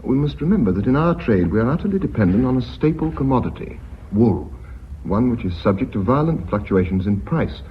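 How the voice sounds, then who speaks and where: An actor playing a fair-minded, conciliatory company director in a radio drama, measured, courteous and formal. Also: male voice, mid-range voice, sing-song, close to an everyday voice. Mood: courteous, reasoned. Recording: dull, noisy radio recording.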